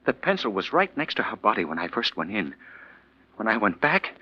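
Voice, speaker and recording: A man's voice: on the low side, big pitch swings, brisk, breathy edge, no room sound. A man playing a desperate, anxious professor in a 1940s radio drama, stammering and pleading. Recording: muffled, dry old radio recording.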